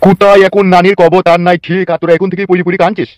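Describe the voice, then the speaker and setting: A man's voice: high voice, little pitch movement, racing, dry recording, natural voice. A man playing a scheming, lovestruck crooked uncle in comic cartoon dialogue, melodramatic, coarse and boastful.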